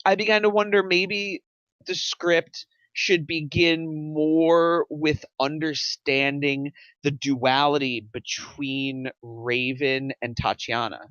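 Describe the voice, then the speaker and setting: A man's voice: on the high side, fairly even intonation, takes it slowly, fairly bright tone, clean tone, no echo, lo-fi sound. A man in a casual script critique discussion, thinking aloud as he offers tentative suggestions. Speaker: script table read panelist.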